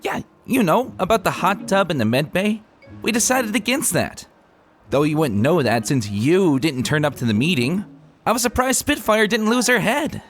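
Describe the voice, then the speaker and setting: A man's voice: high voice, very animated, moderate pace, voice pushed up. A man voicing a brash, playful young female pegasus pony with a breezy, cheeky manner in a fan fiction reading. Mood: casual, teasing. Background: music.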